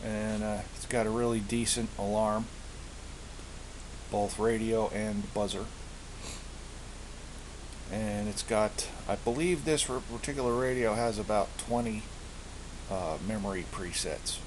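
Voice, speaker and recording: A man giving a casual show-and-tell of his gear, speaking haltingly and often repeating himself as he searches for words. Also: on the low side. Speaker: hobbyist presenter. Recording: hissy amateur home recording.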